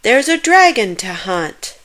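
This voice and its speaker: A woman as an old grandfather, hearty, adventurous, theatrical, unhurried, animated storybook delivery. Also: fairly high pitch, voice pushed up, heavily acted. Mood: puffing. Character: adventurous, hearty old grandfather, confidently oblivious, fond of tales. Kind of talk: storybook character voice.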